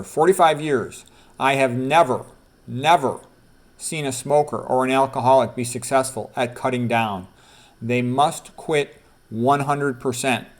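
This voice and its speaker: Male, middle-aged or older, mid-range voice. Doctor presenting book quotes off the cuff, emphatic, repeats key words. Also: mid-range voice. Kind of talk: informal read-aloud with commentary.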